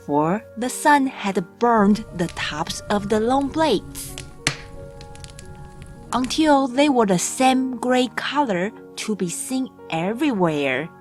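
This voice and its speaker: A woman reads a children's storybook aloud as an audiobook narrator. Her delivery is slow, measured and deliberate, at a medium pitch. Music plays clearly under her voice. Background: background music.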